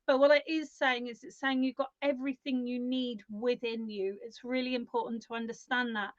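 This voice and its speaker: A woman's voice: on the high side, flat delivery, moderate pace, dry recording. Psychic woman in her forties, soothing, calls people love, muffled dry audio. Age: 40s. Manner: gentle, soothing, calls listeners darling and love. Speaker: psychic reader, co-host. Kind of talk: live-stream reading, casual chat. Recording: dull, dry lo-fi stream audio.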